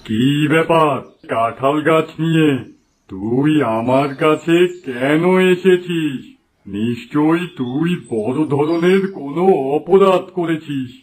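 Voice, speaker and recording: A man's voice: fairly high pitch, slow pace. A man voicing a stern, scolding village elder and holy man in a folktale cartoon. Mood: stern questioning. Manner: scolding, admonishing. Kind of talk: Bengali moral folktale cartoon dialogue. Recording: music under the voice.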